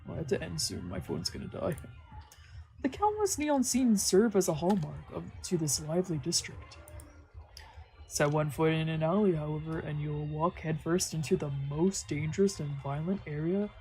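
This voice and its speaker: A woman's voice: deep voice, lively intonation, normal speed, mellow, faint music behind, poor audio. A woman streamer narrating a visual novel, slipping into casual asides. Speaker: streamer doing a let's play. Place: indoor stream with game music behind.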